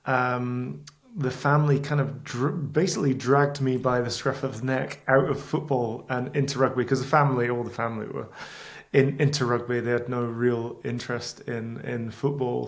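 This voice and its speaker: A man's voice: medium pitch, vocal fry. A man in his thirties with a Scottish accent, talking casually as a podcast guest and rambling a little with restarts. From Scotland. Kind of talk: casual interview chat.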